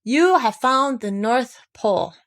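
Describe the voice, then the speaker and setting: A woman's voice: fairly high pitch, lively intonation, takes it slowly, a bit bright, voice pushed up. A woman voicing an earnest young boy who speaks solemnly and kindly to his friends.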